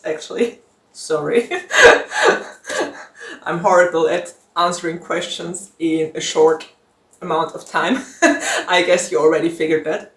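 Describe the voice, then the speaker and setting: A woman whose first language is German, chatting casually to her viewers in a Q&A vlog, frank and laughing often. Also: low voice. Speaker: book YouTuber. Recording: echoey room.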